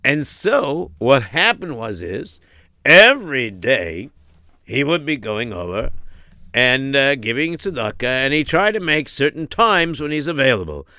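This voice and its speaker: A man's voice: mid-range voice, big pitch swings, unhurried, bright, forceful tone, no room sound. A man telling a comic story as narrator, in a folksy, exclamatory way.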